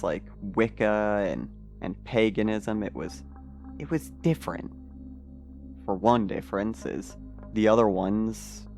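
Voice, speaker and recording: A man playing a troubled, pessimistic man in an audio fiction monologue, confessing in a halting, hesitant way. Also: lowish voice.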